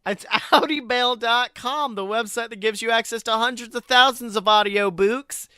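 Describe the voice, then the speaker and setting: A man with a high, crisp voice hosts a casual podcast. He talks informally with a playful, joking streak, and the sound is close and dry. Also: normal speed, sharp tone, no echo. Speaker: podcast host.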